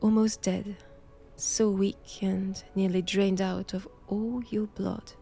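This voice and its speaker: A woman as a slow-speaking French-accented monastery captor. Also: medium pitch, little pitch movement, gravelly, dark tone, soft background music. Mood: cool, taunting. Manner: measured, teasing, formal old-fashioned phrasing. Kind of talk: slow intimate roleplay audio drama.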